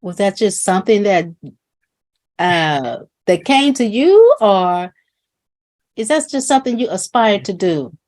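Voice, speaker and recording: A woman's voice: medium pitch, sing-song, a little slow, a little mellow. A woman hosting a talk show, interviewing a guest with open questions. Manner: asks open questions, brief backchannels.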